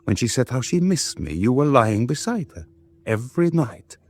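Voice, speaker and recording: An actor playing a bitter, insubordinate submarine first officer in a radio drama, speaking in a cold, clipped, insinuating way. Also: male voice, medium pitch, big pitch swings, normal speed, a little mellow. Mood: bitter, accusing.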